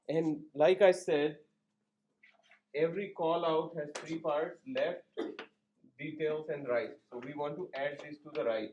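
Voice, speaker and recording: A man lecturing in programming, explaining each step in short instructional phrases with pauses between them. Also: fairly high pitch, a little mellow. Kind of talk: step-by-step classroom coding tutorial.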